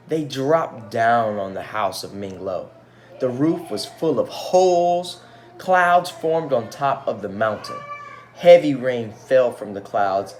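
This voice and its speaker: A male teacher reads a picture book aloud with very lively intonation. He speaks to children in casual asides, with faint noise behind his voice. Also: mid-range voice.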